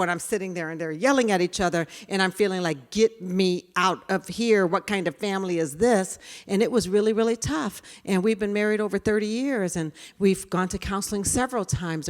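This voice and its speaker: Quiet-voiced woman in her 50s, lowish pitch, chatty storytelling workshop talk. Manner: storytelling, conversational. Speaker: diversity trainer.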